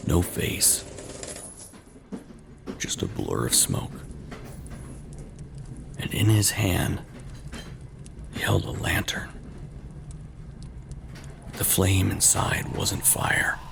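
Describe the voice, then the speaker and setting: Male horror storyteller, extremely low pitch, gravelly fry, ominous and dramatic, short halting phrases. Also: very animated, background noise. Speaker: narrator of an audio horror tale. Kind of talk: scripted first-person horror narration.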